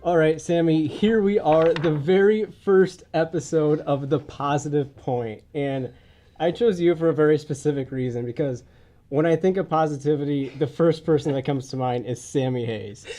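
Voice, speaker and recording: A man's voice: on the high side, moderate pace, warm tone. A young man hosting a podcast in a casual, informal way, congested from a head cold. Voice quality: congested, stuffy nose. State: getting over a head cold. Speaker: podcast host. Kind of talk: casual podcast introduction and interview. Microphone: podcast studio mic, wearing face mask or shield.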